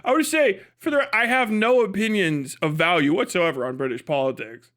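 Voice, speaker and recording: An American man with a fairly high voice talks off the cuff to his livestream. He is irreverent and profane, joking his way through loose, casual commentary. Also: moderate pace, fairly bright tone. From United States. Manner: breaks often into chuckles. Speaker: livestreamer and podcast host.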